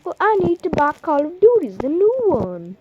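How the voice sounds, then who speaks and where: A high, whiny robot character acted out by a young female-sounding performer in a homemade puppet show. It is rude and pleading, over clear background noise. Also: very animated, moderate pace, highs cut off. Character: rude, whiny robot bot begging for game money. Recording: noisy amateur home recording.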